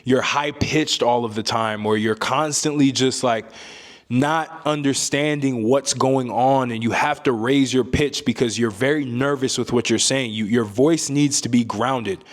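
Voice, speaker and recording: Deep voiced young guy, calm and emphatic, youtube advice monologue, keeps saying right?. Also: a little slow. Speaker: self-improvement YouTuber. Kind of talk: casual advice monologue to camera.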